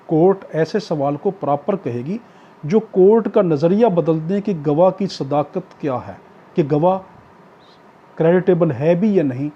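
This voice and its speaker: A man with a Pakistani accent lectures in a high, mellow voice with a husky edge. He explains like a teacher, going back over each point in new words. The recording is dull and lo-fi. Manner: didactic, repeats and rephrases points. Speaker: law lecturer. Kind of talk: explanatory law lecture, reading out provisions then paraphrasing.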